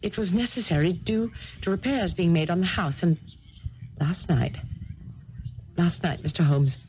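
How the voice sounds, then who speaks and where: An actress playing a frightened young heiress in a 1970s radio drama, fearful, earnest and hesitant. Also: female voice, fairly low pitch, unhurried, a little mellow, muffled recording, a little hiss or hum, lightly acted.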